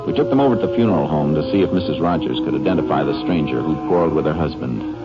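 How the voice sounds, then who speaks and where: An American actor playing a steady, businesslike Texas Ranger in an old radio drama. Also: male voice, rumbling low, racing, loud music behind the voice. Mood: narrating, measured. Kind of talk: 1950s radio drama acting and narration. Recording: noisy, muffled old-time radio recording.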